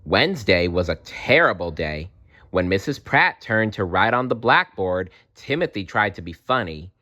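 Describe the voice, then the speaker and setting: A man reading a children's book aloud as a storyteller in an animated style. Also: on the low side, moderate pace, dull audio.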